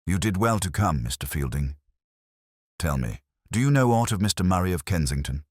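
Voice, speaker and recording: A man plays a keen Victorian detective from an audiobook mystery. His voice is extremely deep and moves expressively, with a formal, deliberate authority. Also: big pitch swings, dry recording, clean studio sound. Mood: grave, probing. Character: keen, commanding Victorian male detective, calm and incisive.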